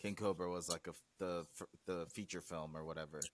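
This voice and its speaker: A man's voice: deep voice, steady tone, fast talker, no echo. A man whose first language is Swahili, a music producer talking animatedly in a casual interview, often trailing off and restarting.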